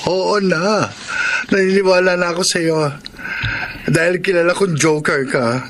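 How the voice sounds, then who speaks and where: A man's voice: high voice, fairly even intonation, measured pace, fairly bright tone, a little hiss or hum. An actor playing a teasing, protective young male friend in a casual manner for a Tagalog radio drama.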